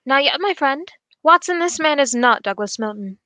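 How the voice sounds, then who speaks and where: Woman doing a shrewd gentleman detective, radio play, highish voice, formal and composed, dry sound. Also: expressive, dry recording. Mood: confident, accusing. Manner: formal, measured, explanatory. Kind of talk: old radio drama acting.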